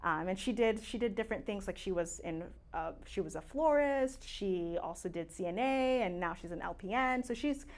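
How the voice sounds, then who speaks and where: A woman's voice: mid-range voice, animated, moderate pace, few pauses. A woman in her late twenties from Tanzania, a native Swahili speaker, chatting casually as a podcast guest with plenty of fillers and easy laughs. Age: late 20s. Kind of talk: casual interview chat.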